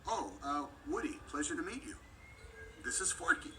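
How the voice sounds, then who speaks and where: A man voicing an earnest, protective cowboy toy in an animated film story, excitable and emphatic. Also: fairly high pitch, moderate pace, slight background noise, low-quality recording. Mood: awkward, polite.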